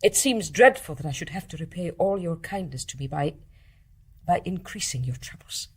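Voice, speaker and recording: Stern british nurse in a radio play, lowish husky voice, formal n precise, wont back down. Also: female voice, animated, moderate pace. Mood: solemn, firm. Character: accusing, dutiful, resolute private nurse.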